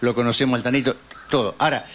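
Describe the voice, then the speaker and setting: A man's voice: medium pitch, steady tone, very fast. An Argentine man hosting a political radio interview in an informal, conversational way. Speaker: radio host.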